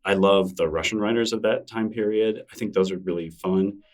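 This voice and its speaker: A middle-aged man with a deep, low voice chats as a podcast guest. He talks in a loose, self-deprecating way, often restarting his sentences. He sits a little off the mic. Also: brisk, recorded from a distance. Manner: relaxed, rambling, self-deprecating with frequent restarts. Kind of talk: casual interview conversation.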